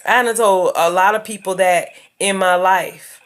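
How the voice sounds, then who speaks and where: A woman's voice: mid-range voice, fairly even intonation, unhurried. A woman in her thirties talking straight to her viewers in a casual advice video, emphatic and preachy, repeating her points. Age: 30s. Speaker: YouTuber giving life advice.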